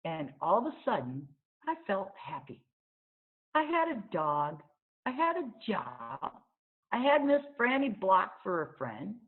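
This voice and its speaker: A woman reading a children's book aloud as an expressive storyteller, on a thin, phone-like recording. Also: mid-range voice, slow pace. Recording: thin, phone-like narrow-band sound.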